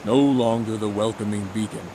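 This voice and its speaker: A man giving a deadpan, mock-solemn dramatic reading of a comic adventure story. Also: on the low side, moderate pace, noisy background. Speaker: narrator.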